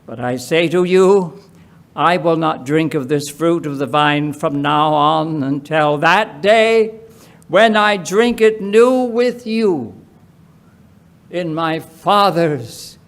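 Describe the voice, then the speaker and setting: A man reading Bible scripture aloud as solemn narration, in deliberate, measured phrases with frequent pauses. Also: a little high. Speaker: scripture reader.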